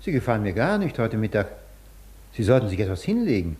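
An actor in a German radio play as a gallant married gentleman, courteous, fussing and concerned. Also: fairly low pitch, very animated, quick pace.

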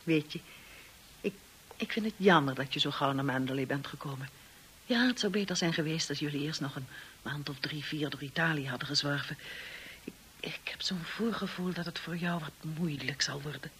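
A woman playing a blunt, upper-class sister-in-law in a radio drama, candid, brisk and probing. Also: low-pitched, a bit bright, a little hiss or hum. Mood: confiding.

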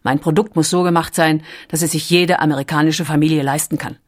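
A narrator giving scripted documentary narration with an even, steady reading. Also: medium pitch, brisk.

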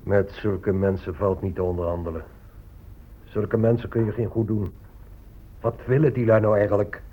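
An actor playing a greedy, impatient settler negotiator with a husky voice and an insistent manner in a radio drama. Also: male voice, on the low side, flat delivery, normal speed, close to an everyday voice. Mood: exasperated. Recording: muffled, narrow-band old radio recording.

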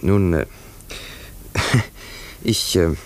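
A young private secretary in a vintage radio drama. His voice is very deep, and his manner is awkward and hesitant. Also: animated, heavily acted. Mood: embarrassed, sheepish. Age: young adult. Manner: halting, awkward, nervous laughs. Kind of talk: 1950s radio drama acting.

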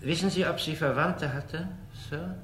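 An actor in a radio crime drama playing a methodical police investigator with formal, measured questioning. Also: male voice, fairly high pitch, a bit bright. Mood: sober, inquiring. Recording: echoey room, faint noise.

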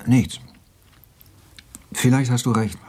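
A melancholy, hard-drinking cynic in a radio drama. He is dry and mockingly gallant, speaking in a husky male voice of medium pitch. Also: takes it slowly, darkish tone. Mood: resigned. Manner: dry, sardonic. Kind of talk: German radio play dialogue.

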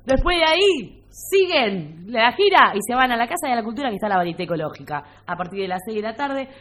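A woman with an Argentine accent and a fairly high voice co-hosts a radio show. She speaks informally and playfully, mixing casual chat with event announcements. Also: big pitch swings, quick pace, a bit bright. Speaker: radio co-host.

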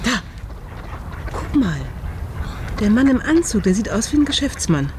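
An actress playing a practical, encouraging friend with a wry streak in a radio crime drama, speaking in an animated, conversational way. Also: female voice, a little high, a little slow, noisy background.

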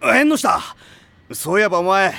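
Brash anime schoolboy, really high gravelly voice, bombastic n worshipful, music behind. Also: male voice, lively intonation, normal speed, bright, forceful tone, dry recording, heavily acted. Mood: excited, indignant. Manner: bombastic, mock-formal, rough delinquent speech. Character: brash, worshipful, hot-blooded teenage schoolboy. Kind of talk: anime audio drama acting.

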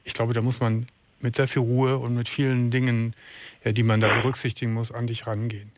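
A middle-aged man hosting a late-night call-in radio show, calm and reassuring, often restarting his sentences. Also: mid-range voice, a little fast, a little hiss or hum. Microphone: studio microphone.

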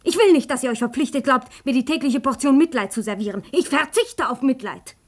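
An actress in a German radio play playing a proud, bitter, sickly young woman, accusing and agitated, dropping into hushed intensity. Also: sits high, brisk. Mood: bitter, anguished.

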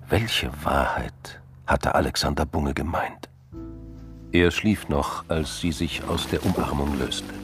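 Very deep-voiced male narrator. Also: background noise. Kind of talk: scripted radio drama narration. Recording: music behind the voice.

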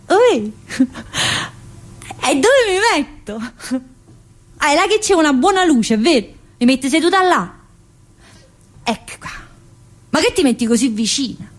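A woman's voice: high-pitched, sing-song, measured pace, pauses often. An actress playing a chatty, restless middle-aged woman in prison, teasing and earthy, in a theatre monologue. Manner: teasing, earthy, full of fillers and laughs. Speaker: stage actress in a one-woman play.

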